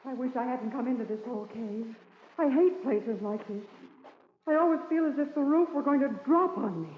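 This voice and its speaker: A muffled, noisy vintage radio recording swimming in cave reverb. An actress plays a frightened young woman with a high voice, anxious and theatrical in the manner of 1940s radio drama. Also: normal speed, natural voice. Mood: uneasy dread.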